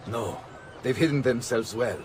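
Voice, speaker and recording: An actor playing a dignified, grave Renaissance nobleman with an Italian accent in a video game cutscene, speaking in a measured way. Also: mid-range voice, animated, a little hiss or hum, natural voice.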